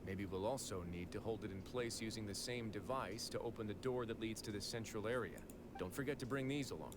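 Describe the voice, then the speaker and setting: An actor playing a composed, commanding official in a video game, speaking in a measured, formal way. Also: male voice, lowish voice, noisy background, natural voice. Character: commanding, composed male official giving calm orders. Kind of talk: scripted video game voice acting.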